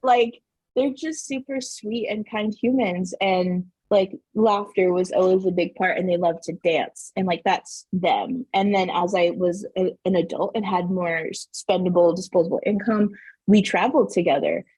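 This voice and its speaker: Animated, husky-voiced woman storyteller. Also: on the low side, moderate pace, no room sound, low-quality recording. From Southern New Mexico. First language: Spanish. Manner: animated, laughing, full of "like". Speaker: podcast guest. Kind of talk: casual interview storytelling.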